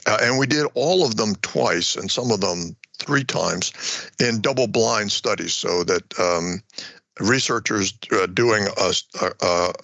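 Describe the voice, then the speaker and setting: An older American man giving explanatory interview answers as an academic guest, halting and often repeating words as he goes. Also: fairly low pitch, vocal fry, pushed and bright, no room sound. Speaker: retired war college professor, research guest.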